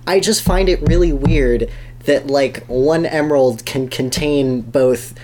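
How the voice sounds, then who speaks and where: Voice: mid-range voice, a little slow, darkish tone. A podcast host chatting casually and informally, with an amused manner.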